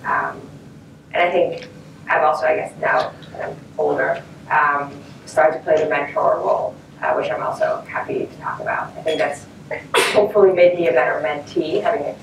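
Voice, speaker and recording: A woman's voice: low voice, animated, moderate pace, gravelly, distant mic. Woman, panelist, conversational, restarts, roomy, faint noise. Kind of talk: conference panel talk. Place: conference stage.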